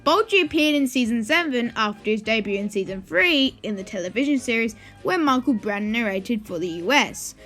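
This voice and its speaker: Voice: mid-range voice, lively intonation, fairly quick, hardly any pauses, fairly bright tone, soft background music. A video host giving informal opinion commentary in a ranking video, with conversational asides.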